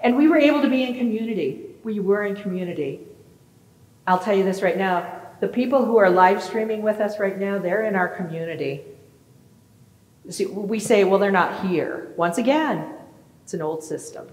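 A middle-aged woman pastor delivers a sermon, lively and direct, often putting questions to her congregation. She is picked up from a distance in a large sanctuary. Long church reverb trails after every phrase. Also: medium pitch, moderate pace. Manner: animated, gesturing, asks the congregation questions.

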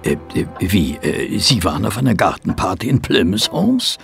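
An actor playing a puzzled, well-spoken gentleman companion in a German audio drama, with a very raspy voice. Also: on the low side, very animated, normal speed, soft background music.

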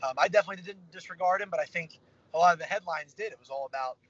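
A man's voice: high voice, very fast, fairly bright tone. A young man in a parked car, speaking on a video call as a fighter being interviewed, upbeat and rambling with many fillers. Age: young adult. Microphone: phone camera on a video call. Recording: slightly dull video-call audio with faint car hum.

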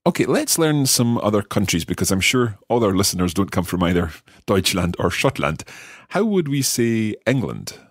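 A man co-hosting a language lesson as the learner, asking questions and slowly repeating phrases. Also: on the low side, very animated, husky, dry recording, studio quality. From Scotland. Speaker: learner co-host.

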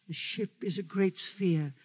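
An actor playing a zealous, corrupt old holy man in a 1950s radio drama, solemn and indignant, with a cracked raspy voice. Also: high voice, unhurried, darkish tone. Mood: solemn preaching. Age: older.

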